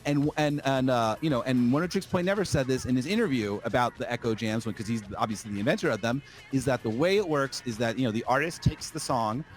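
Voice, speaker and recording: Emphatic, profane man on a podcast. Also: medium pitch. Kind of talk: casual chat turning into opinionated rant.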